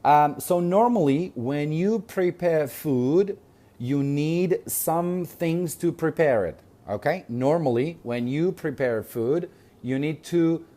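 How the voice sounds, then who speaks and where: Kurdish-accented male English teacher, mellow voice, patient and repetitive, spells words aloud. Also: medium pitch. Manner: patient, repeats words and spells them out letter by letter; checks in with frequent "okay?". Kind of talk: online language lesson.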